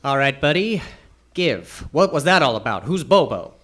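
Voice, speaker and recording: A man's voice: medium pitch, big pitch swings, normal speed. An actor playing a wry, wisecracking hard-boiled male library detective, narrating and talking in a noir radio drama. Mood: wry, needling. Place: theater stage. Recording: loud music under voice. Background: plucked guitar music.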